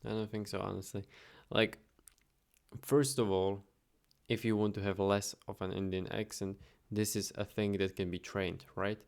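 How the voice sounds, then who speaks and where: A young man casually talking through his daily business update while reading viewer questions, chatty and relaxed, thinking aloud. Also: on the low side, normal speed, creaky, clear, resonant tone. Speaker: automation agency owner vlogging. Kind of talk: casual screen-share vlog.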